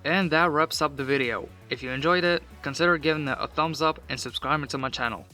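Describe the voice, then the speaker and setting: A man's voice: on the high side, brisk, pushed and bright, faint music behind. A man, not a native English speaker, giving a casual scripted voice-over for his vlog in a matter-of-fact, self-deprecating way. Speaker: vlogger.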